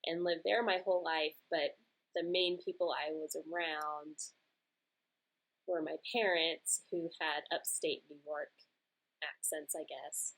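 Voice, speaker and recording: Young female podcast host, soft Southern edge, lower register, relaxed rambling delivery. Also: measured pace, rough recording. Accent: mild American Southern. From Georgia, USA. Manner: chatty, rambling, laughs easily. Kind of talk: casual Q&A chat.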